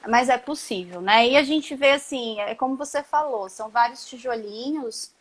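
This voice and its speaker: A middle-aged woman talks over a video call in an easy, rambling way, often checking in with little tag questions. Her voice sits fairly high. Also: normal speed. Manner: chatty, relaxed, many tag questions and restarts. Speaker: chemistry professor, guest. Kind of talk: casual interview on a live stream.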